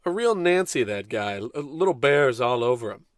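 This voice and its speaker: A man's voice: mid-range voice, sing-song, moderate pace, no echo, natural voice. Man playing a pompous, blustering radio-play space captain. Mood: bitter, dismissive. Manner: grandiose, bossy, with dry sarcastic asides. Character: pompous, callous American spaceship captain, blustering and self-important. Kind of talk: comic old-time radio play acting.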